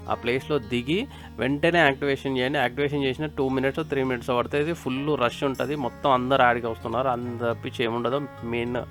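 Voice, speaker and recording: A man with a Telangana Telugu accent giving casual, informal game update commentary, repeating himself and advising listeners directly. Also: medium pitch. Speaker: gaming video creator. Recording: music behind the voice. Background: music.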